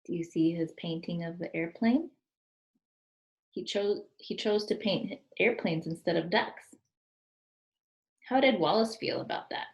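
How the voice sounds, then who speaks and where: A woman reading a children's storybook aloud as a teacher, expressive and deliberate, explaining and putting questions to her listeners. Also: fairly low pitch. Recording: roomy, echoing sound.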